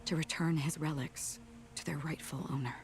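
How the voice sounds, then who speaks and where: An actress voicing a calm, solemn woman wanderer in a game cutscene, speaking softly and evenly. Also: low-pitched, moderate pace. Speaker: game character. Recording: game audio with music under it.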